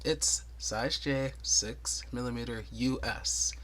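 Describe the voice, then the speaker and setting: Tutorial host, male, soothing voice, mid pitch, patient and chatty, counts stitches aloud. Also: fairly even intonation, takes it slowly, a bit bright. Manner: thorough, chatty, with light laughs and counting aloud. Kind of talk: casual step-by-step tutorial.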